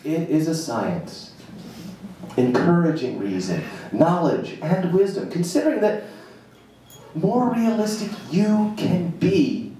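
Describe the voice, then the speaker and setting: A man giving a theatrical live spoken-word reading of a monologue, with emphatic dramatic pauses and a playful manner. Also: on the high side, sing-song, dark tone. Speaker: reader performing a written monologue. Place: indoor jazz club stage, live event. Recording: very echoey live venue, distant mic, noisy.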